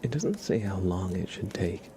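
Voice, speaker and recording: A man hosting an ASMR livestream, chatting casually to viewers in a soft, mostly whispered, gentle and unhurried murmur. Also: deep voice, big pitch swings, background noise.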